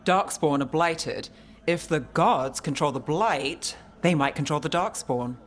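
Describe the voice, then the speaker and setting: A man playing an analytical, earnest investigator in a fantasy video game, measured and thoughtful. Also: fairly high pitch, fairly bright tone. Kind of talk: dramatic video game acting. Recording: faint music behind.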